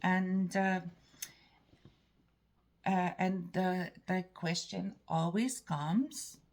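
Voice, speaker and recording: A woman from Canada with an Eastern European-sounding accent, telling a story in a rambling, halting way with many restarts. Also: fairly low pitch, fairly even intonation, a little mellow. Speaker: vlogger. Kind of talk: casual storytelling monologue.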